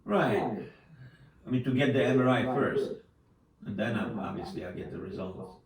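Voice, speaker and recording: A man with a Polish accent, Polish his native language, talking haltingly and repeating himself as he explains his situation. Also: mid-range voice. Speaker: client. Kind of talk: casual conversation. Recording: echoey room, distant mic, faint noise.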